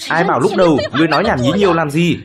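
A man's voice: on the high side, animated, rapid-fire, lightly acted. A man voicing a bossy, impatient, short-tempered goddess in a cartoon, with a scolding manner. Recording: loud music under the voice.

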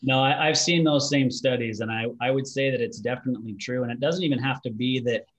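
A man's voice: mid-range voice, fairly quick, poor audio. A man in his forties from Utah, hosting a business interview in a friendly, conversational way over a video call from a home office. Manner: friendly, conversational, fillers like "you know". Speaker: podcast host.

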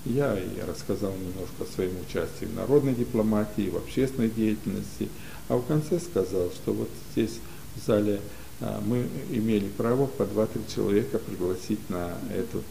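An older man from Ukraine recounts memories as an interview guest, his delivery slow and hesitant. His voice is low, dark and gravelly. Faint noise sits under the recording, made in a library studio. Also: low-quality recording. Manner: unhurried, many pauses and hesitations. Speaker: guest, community leader. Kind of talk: relaxed storytelling in an interview.

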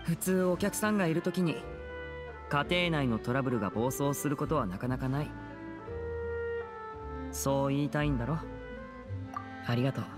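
A male actor playing a composed, protective teenage magician in an anime game, speaking smoothly and earnestly. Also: on the high side, moderate pace, pauses often, over music, natural voice. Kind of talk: Japanese anime game voice acting.

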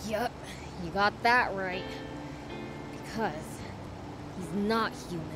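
A woman's voice: medium pitch, slow pace, loud music behind the voice, lightly acted. A woman voicing a cocky, blunt young male demon prince in a video game, with a boyish voice. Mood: grumbling.

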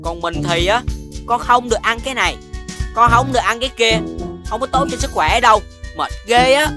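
A woman's voice: on the high side, brisk, natural voice. A woman as a chatty, earnest little cartoon animal. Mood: grumbling. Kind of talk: children's cartoon voice acting. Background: music.

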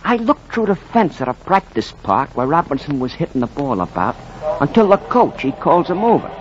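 An actor playing a slangy, folksy baseball fan who narrates theatrically in a 1940s radio drama. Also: male voice, on the high side, very animated, normal speed. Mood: wry, colorful. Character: slangy, colorful storyteller, wisecracking baseball fan. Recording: dull, faintly noisy 1940s radio recording.

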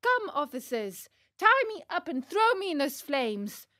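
A small wooden puppet boy from a children's tale, played by a woman. The voice is high and piercing, earnest and plaintive, drawing out its words slowly with a brave streak. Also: big pitch swings, bright, forceful tone, dry recording, clean studio sound, exaggerated voice. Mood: proud, brave. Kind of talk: children's storybook reading.

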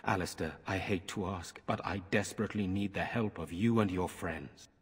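An actor playing a grave, courteous noble lord in a fantasy game, speaking formally and earnestly in a reverberant stone hall. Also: male voice, sits low, moderate pace, husky. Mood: grave, urgent. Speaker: game character. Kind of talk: dramatic fantasy game acting.

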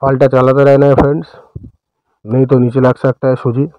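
Smooth Indian man, dull lo-fi recording. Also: mid-range voice, level tone, moderate pace, no room sound. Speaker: cooking channel host. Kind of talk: step-by-step recipe narration.

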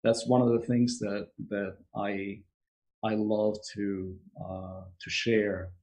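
A man's voice: on the low side, flat delivery, slow, a little mellow, slight background noise. A man speaking as a guest on a video call interview, hesitant with many fillers. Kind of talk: conversational interview.

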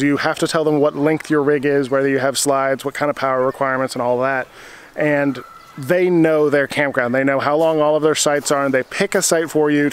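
A man in his forties, an RV travel vlogger, explaining things steadily to a camera held at arm's length outdoors. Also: medium pitch. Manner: steady, matter-of-fact, with some fillers. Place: outdoors at a campground playground. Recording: faint outdoor noise.

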